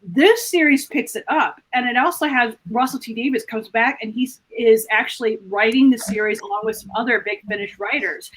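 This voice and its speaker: A woman with a somewhat high-pitched voice speaks as a fan panelist. She explains things informally and step by step, as recommendations to listeners. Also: brisk, hardly any pauses, a bit bright, slight background noise, poor audio.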